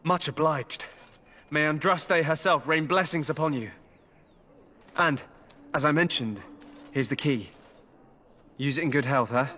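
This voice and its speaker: A man's voice: a little high, measured pace, pauses often, bright, forceful tone. An actor playing a starving, wily male prisoner who bargains slyly and wheedles in a video game. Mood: grateful. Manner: wheedling, sly. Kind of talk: dramatic video game acting.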